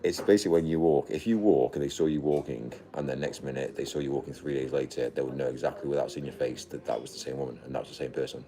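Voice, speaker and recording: A man's voice: a bass voice, quick pace, few pauses. A man live streaming from a hotel, chatting in a rambling way, repeating himself and swearing casually. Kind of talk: unscripted live stream chat. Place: busy hotel restaurant. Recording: crowd chatter behind.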